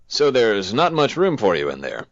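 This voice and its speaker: A man's voice: mid-range voice, wildly swooping pitch, moderate pace. A man playing a polished news anchor presenting a futuristic broadcast in an audio drama. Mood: composed. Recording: dull, dry lo-fi studio sound.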